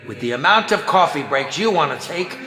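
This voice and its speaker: An actor playing a theatrical, impatient and sinister film director in a video game, with a hammy, bossy, sarcastic manner. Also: male voice, on the high side, lively intonation, a little fast, sharp tone. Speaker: game villain and announcer. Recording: echoey hall reverb with music.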